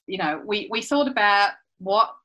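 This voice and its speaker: A woman's voice: medium pitch, animated, normal speed, bright, forceful tone. A woman in her fifties with a Swiss accent, hosting a podcast in a casual, chatty style. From Switzerland. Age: 50s. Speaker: podcast host.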